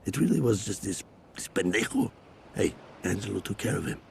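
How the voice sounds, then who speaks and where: A man's voice: lowish voice, big pitch swings, fairly quick, vocal fry, darkish tone, a little hiss or hum. A man acting a dismissive, testy drug cartel boss in a video game.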